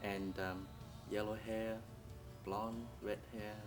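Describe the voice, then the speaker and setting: A man's voice: on the low side, level tone, slow, music in the background. A man whose first language is Vietnamese, speaking hesitantly in English with many fillers in an interview. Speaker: community member. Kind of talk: casual interview.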